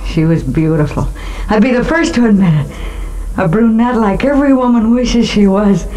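An actress playing a young, jilted and nervy gangster's moll in a 1950s radio noir drama, slangy and emotional. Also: female voice, mid-range voice, normal speed, warm tone, natural voice. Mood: bitter. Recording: noisy old 1950 radio recording.

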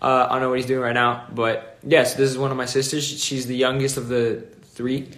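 A man's voice: mid-range voice, flat delivery, fairly quick, fairly bright tone. A young man chatting casually as a livestream host. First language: Dutch. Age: young adult. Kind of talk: casual chat. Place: room with bookshelves at home. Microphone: phone at arm's length. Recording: roomy echo, some noise.

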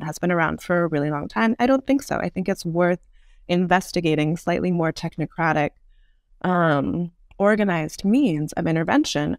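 Creaky-voiced woman explaining thoughtfully. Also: fairly low pitch, no echo, studio quality. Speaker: podcast guest, urban design expert. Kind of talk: thoughtful explanatory interview answers.

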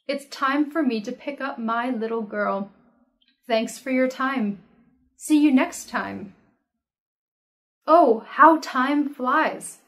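A young female English teacher reads everyday dialogues aloud for learners. Her pace is unhurried and deliberate, each word shaped carefully. Her voice sits a little on the high side. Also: fairly bright tone. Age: young adult. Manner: slow, careful, clearly enunciated. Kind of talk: reading practice dialogues aloud, each line twice. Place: indoor studio with red curtain backdrop.

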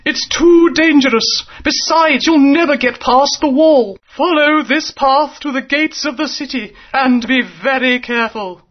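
Brief: gentle dinosaur queen, high and unhurried, lo-fi. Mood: caring. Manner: gentle and protective. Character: caring dinosaur queen and mother. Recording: dull lo-fi game audio.

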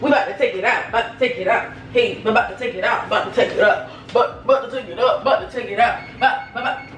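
A woman's voice: fairly high pitch, quick pace, slight background noise. A woman chatting casually to her viewers while cooking in a small home kitchen, playful and sing-song, often calling them "y'all". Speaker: cooking vlogger. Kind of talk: casual cooking chat to camera. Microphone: camera mic, speaker moving around.

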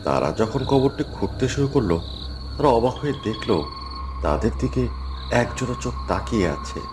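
Male storybook narrator, suspenseful, wide pitch swings. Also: sing-song, normal speed, background noise. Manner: dramatic, suspenseful.

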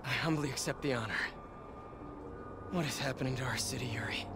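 An actor playing a battered young American superhero, earnest, wry and quick to blame himself, in a cinematic video game. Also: male voice, mid-range voice, heavy background noise, lightly acted. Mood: weary, wry. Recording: loud cinematic music behind.

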